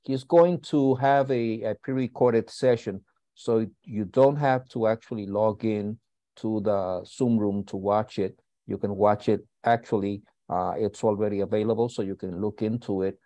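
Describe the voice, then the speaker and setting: Man, low voice, mellow tone, halting announcements, many uhs, dry sound. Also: fairly even intonation, dry recording. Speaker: host and school founder. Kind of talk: housekeeping announcements. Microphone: video call.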